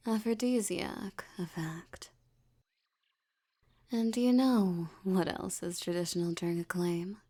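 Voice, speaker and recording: A dark, mellow female vampire played by a woman, possessive and seductive. She speaks very slowly in a breathy near-whisper with some gravel in it, teasing and condescending as she gives orders in an intimate roleplay. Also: fairly low pitch, heavily acted. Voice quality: breathy, often dropping toward a whisper. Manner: slow, teasing, commanding, condescending. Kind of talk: intimate roleplay audio acting.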